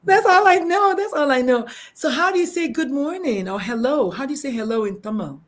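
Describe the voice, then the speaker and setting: A woman with a Nigerian accent hosting a live interview, talking in an excitable, rambling, effusive way. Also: high-pitched, a little fast. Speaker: host.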